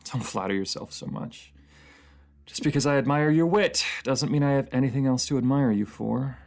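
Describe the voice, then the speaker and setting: A man playing a proud, bitter captive ruler in a fantasy audio drama, speaking in formal, haughty, taunting phrases with a gravelly voice. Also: medium pitch, moderate pace, close to an everyday voice. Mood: defensive, grudging. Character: proud captive enemy ruler, sardonic, bitter, secretly admiring.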